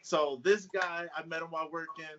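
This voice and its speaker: A man's voice: on the high side, fairly even intonation, a little fast, a bit bright. A man co-hosting a podcast, talking in a loose, hyped, profane way. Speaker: podcast co-host. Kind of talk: casual chat. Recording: dull lo-fi sound, faint hiss.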